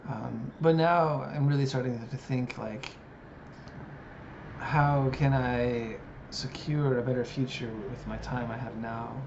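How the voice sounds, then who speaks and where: Man, late thirties, reflective vlogger, hesitant fillers, dull lo-fi sound with steady background noise. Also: medium pitch, slow, vocal fry. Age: 30s. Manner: musing, hesitant, many fillers. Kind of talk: reflective personal monologue. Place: living in Japan.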